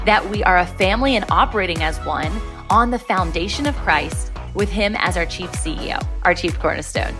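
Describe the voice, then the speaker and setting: A woman in her thirties hosting a faith podcast, telling a story earnestly and with lots of animation, restarting her sentences as she goes. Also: fairly low pitch. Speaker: podcast host. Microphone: podcast microphone, live stream.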